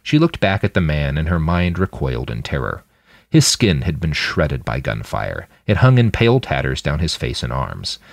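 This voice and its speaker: A man with a very low voice narrates fiction in a dramatic audiobook style. His intonation swings widely, and the recording is close and dry. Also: normal speed, gravelly, dry recording. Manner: dramatic, expressive storytelling. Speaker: fiction podcast narrator and author.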